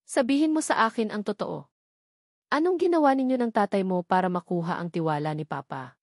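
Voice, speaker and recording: Audio-drama heiress performed by a woman, betrayed, angry, emphatic, mid-pitched. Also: fairly quick, no room sound, studio quality, natural voice. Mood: angry accusation. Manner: accusing, indignant, emphatic. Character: betrayed, furious young heiress confronting her accused husband.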